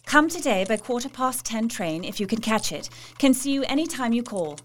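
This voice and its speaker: A fairly high female voice, an actress as an earnest young Victorian wife in an audio drama. She is proper and anxious, confiding her thoughts like diary entries. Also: animated, normal speed, background noise, natural voice. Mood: businesslike.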